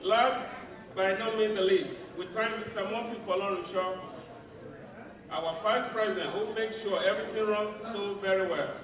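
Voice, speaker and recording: High-voiced man giving a formal gala speech. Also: fairly even intonation. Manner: formal, measured, ceremonious thanks. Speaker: association president. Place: large event clubhouse hall. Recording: echoey hall from far off, crowd. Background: audience crowd.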